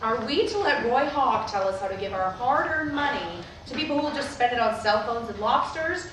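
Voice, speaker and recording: A pragmatic, businesslike preacher's wife acted by a woman in a stage play. She talks quickly in a slightly high voice, heard from a distance in a very echoey hall. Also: animated, lightly acted. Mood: declamatory. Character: pragmatic, brisk pastor's wife running church business. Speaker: actress in a staged reading. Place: theatre at a live staged reading. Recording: echoey, noisy hall recorded from far off.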